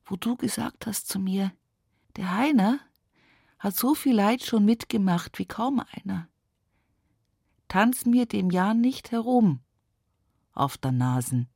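An actress playing a weary, devout country woman in her sixties with an Upper Palatinate Bavarian accent, lamenting haltingly in a radio play monologue. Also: medium pitch, animated, creaky, darkish tone, slightly rough, dry recording, studio quality, full character voice. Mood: wistful. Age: 60s. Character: weary, devout rural daughter nearing seventy, grieving, plain-spoken.

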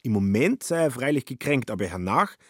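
A man narrating a literary radio play with a husky voice and a wry, dry storytelling manner. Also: medium pitch, sing-song, a little fast, dry recording.

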